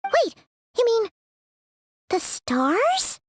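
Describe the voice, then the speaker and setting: A woman voicing a small, chatty, excitable fairy companion girl with a squeaky childlike voice in an anime fantasy game. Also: drawn-out words, slight background noise, heavily acted. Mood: puzzled. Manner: chatty, exclaiming, refers to herself by name.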